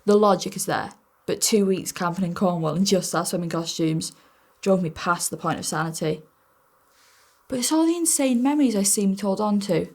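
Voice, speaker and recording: Actress doing wry fond mum telling family memories, stage monologue, normal woman's pitch. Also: lightly acted.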